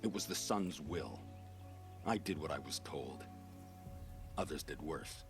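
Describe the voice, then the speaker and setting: Casting: disgraced veteran captain, bitter but honorable, extremely low gravelly voice, grave game-drama delivery. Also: male voice, animated, measured pace, over music, exaggerated voice. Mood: resigned. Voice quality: deep, gravelly. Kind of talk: dramatic video game acting.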